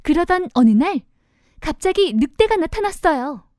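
A woman's voice: very high voice, big pitch swings, normal speed, a little mellow, no echo, clean studio sound. A woman narrating a children's storybook as storyteller, expressively and simply for young listeners.

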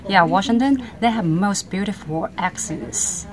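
A woman's voice: mid-range voice, measured pace. A woman in her forties giving emphatic advice in non-native English, recorded close on a phone in an open-plan office. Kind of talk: casual advice. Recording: crowd chatter in office.

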